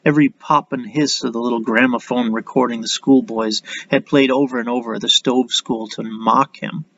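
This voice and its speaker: The recording is muffled and lo-fi. A man reads dramatic audiobook fiction in a gravelly voice, with measured, brooding delivery. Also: mid-range voice, steady tone, fairly bright tone. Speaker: author reading own story. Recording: dull, lo-fi audio.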